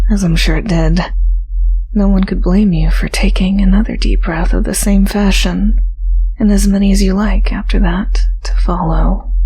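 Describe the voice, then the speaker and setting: A woman softly reading a scripted hypnotic induction in a soothing, deliberate way, often slipping toward a breathy near-whisper. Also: mid-range voice, slight background noise, poor audio. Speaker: hypnosis performer. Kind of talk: scripted hypnotic induction, soft ASMR-like monologue.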